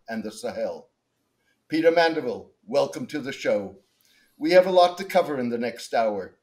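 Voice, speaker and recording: Older male host, home video call, formal scripted opener, steady delivery. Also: medium pitch. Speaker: show host. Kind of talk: scripted introduction.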